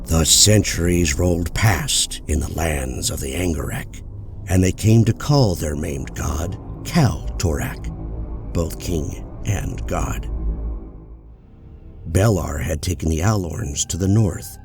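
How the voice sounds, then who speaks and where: A man narrating a fantasy audiobook in a measured, solemn storytelling manner. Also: low-pitched, animated. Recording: loud music bed under voice.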